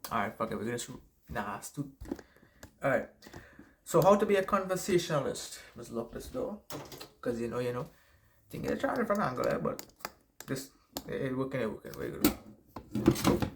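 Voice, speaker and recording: A man's voice: mid-range voice, sing-song, moderate pace, creaky, pauses often, slight background noise. A young man with a Trinidadian accent giving casual, rambling advice to camera, swearing and tagging sentences with "right?". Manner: rambling, profane, frequent "right?" tags. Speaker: self-help vlogger.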